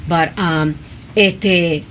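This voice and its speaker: A woman's voice: on the low side, fairly even intonation, drawn-out words, fairly bright tone. Woman, interview host, bilingual, chatty questions, faint background hiss. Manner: switches between Spanish and English, asks questions with fillers. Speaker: talk show host.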